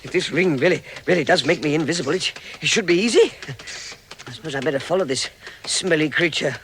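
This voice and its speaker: A man's voice: on the high side, expressive, fast talker, fairly bright tone. A man playing a small, timid but plucky hobbit in a vintage radio drama, thinking aloud in a hesitant, fretful way. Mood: wondering, wary. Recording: noisy vintage 1960s radio recording.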